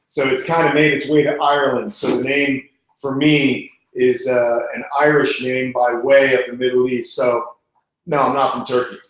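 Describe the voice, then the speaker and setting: A man's voice: medium pitch, level tone, normal speed, a bit bright. An American man from New York hosting an animal live stream, chatting informally and answering questions in a casual way. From Long Island, New York, United States. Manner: informal, chatty, calls people man and bud. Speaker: animal channel host. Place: indoor room. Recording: very echoey, reverberant room.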